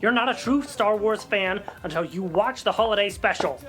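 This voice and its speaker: A woman's voice: medium pitch, lively intonation, moderate pace, lightly acted. A woman playing a pompous, pedantic male collectibles seller who is grudging and indignant, in a comedic audio drama. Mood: outraged.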